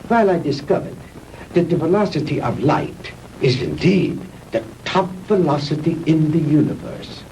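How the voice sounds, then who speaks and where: An actor playing a proud, vengeful mad scientist in a 1960s B-movie, lecturing pompously with theatrical pauses on an old film soundtrack. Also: male voice, on the high side, mellow, distant mic, a little hiss or hum, poor audio. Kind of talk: 1960s B-movie sci-fi acting.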